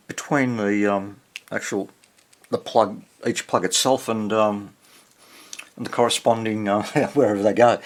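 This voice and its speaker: A man giving casual hands-on repair narration, haltingly muttering to himself as he works and thinks aloud. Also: fairly low pitch. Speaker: hobbyist radio restorer, presenter.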